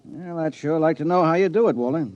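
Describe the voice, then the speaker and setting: An actor playing a mild-mannered, middle-aged American friend in a 1950s radio drama, hesitant and astonished, full of doubtful questions. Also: male voice, fairly high pitch, fast, a little mellow, lightly acted. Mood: curious. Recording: dull old radio recording.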